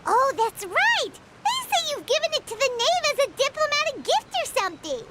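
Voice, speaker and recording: A woman voicing a tiny, childlike fairy companion in a video game, with a squeaky voice and a chirpy, inquisitive, blunt manner. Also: big pitch swings, normal speed, a little hiss or hum, exaggerated voice. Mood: surprised, exclaiming. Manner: refers to self in third person, exclaiming, inquisitive. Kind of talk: video game character dialogue. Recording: game dialogue over loud music.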